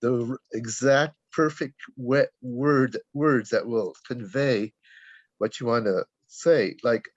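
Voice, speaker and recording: A man's voice: mid-range voice, slow, dry recording. An older man, Tagalog his first language but raised in America, talking reflectively in a casual group discussion and often restarting as he searches for words. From Philippines, raised in the United States. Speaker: reading group participant. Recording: dull lo-fi dry sound.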